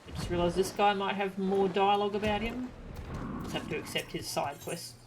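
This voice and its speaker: A woman's voice: medium pitch, a little fast, noisy background. A woman streaming a game, commenting in an amused, teasing manner. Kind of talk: live game stream, reading dialogue aloud and commenting. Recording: game music behind. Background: video game music.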